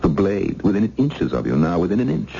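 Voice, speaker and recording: Deep, gravelly suave radio villain. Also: male voice, very animated, warm tone, heavily acted. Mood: hypnotic menace. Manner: mock-polite, drawn-out, hypnotic menace. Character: suave, menacing male villain, coldly theatrical and taunting. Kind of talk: old-time radio thriller acting. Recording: dull, hissy old radio recording.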